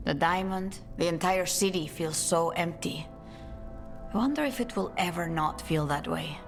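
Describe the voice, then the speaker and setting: Elegant assassin guild lady from a video game woman acting, lowish voice, measured and poised. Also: soft background music, natural voice. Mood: wistful, resolute. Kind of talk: video game cutscene dialogue.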